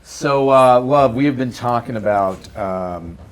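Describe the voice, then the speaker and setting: A man's voice: medium pitch, measured pace. A man hosting an informal interview, asking questions in a conversational way. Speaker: interview host. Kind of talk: casual interview chat.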